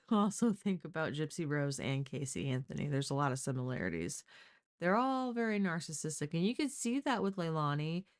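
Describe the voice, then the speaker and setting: A woman hosting a casual true-crime live stream, speaking bluntly and informally with the odd swear word. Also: low voice.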